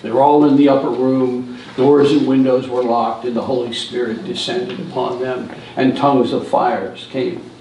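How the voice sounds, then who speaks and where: A man's voice: medium pitch, steady tone, normal speed, few pauses, dark tone, a little hiss or hum. An older man giving a church seminar talk, telling stories from a lectern in a large hall. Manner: storytelling, stands at a lectern and gestures. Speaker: seminar speaker. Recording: echoey hall from a distance.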